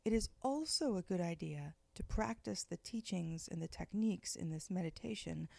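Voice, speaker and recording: A woman leading a guided meditation, giving slow, soft, gentle instructions with long pauses. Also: on the low side, lively intonation, creaky, no echo.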